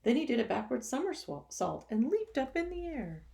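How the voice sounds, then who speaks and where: A woman, a teacher, reading a picture book to children expressively, with gentle sympathetic asides. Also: high-pitched, big pitch swings, normal speed, creaky. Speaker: teacher reading a storybook to pupils.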